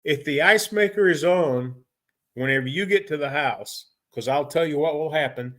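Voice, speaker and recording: A folksy middle-aged man at the front of a repair technicians' training class, haltingly talking colleagues through a job and circling back over his phrases. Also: fairly high pitch, fairly bright tone. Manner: folksy, halting, repeats and rephrases himself. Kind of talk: informal training lecture.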